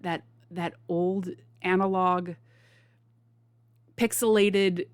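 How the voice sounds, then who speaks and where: A woman's voice: lowish voice, no echo. A woman hosting a casual reaction video, rambling and enthusiastic, with many restarts.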